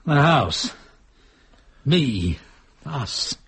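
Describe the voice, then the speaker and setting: An actor playing an earnest, guilt-ridden, defensive middle-aged Englishman with a rough, husky voice in a British drama. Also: mid-range voice, sing-song, very slow, fairly bright tone, full character voice. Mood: troubled, guilty. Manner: earnest, intense. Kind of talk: British radio drama acting.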